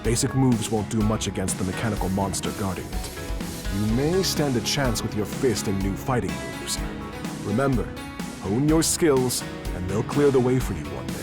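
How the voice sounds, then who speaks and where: A man playing a calm, wise martial arts mentor in a video game, speaking in a measured, instructive way. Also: fairly low pitch, natural voice. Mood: instructive, encouraging. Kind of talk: video game cutscene acting. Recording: game music behind the voice.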